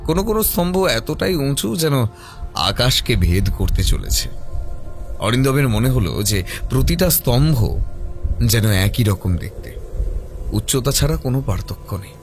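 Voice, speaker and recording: A man narrating a scripted horror mystery audio story in a dramatic, measured manner. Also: mid-range voice, lively intonation, soft background music.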